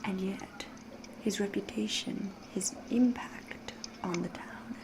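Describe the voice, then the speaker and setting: A woman acting as ancient vampire woman, ASMR roleplay, very slow, soft whispery voice, coy and ominous, noisy ambience behind. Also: fairly low pitch. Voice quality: breathy, soft, often whispering. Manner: coy, deliberate, ominous, theatrical, drawn-out phrasing.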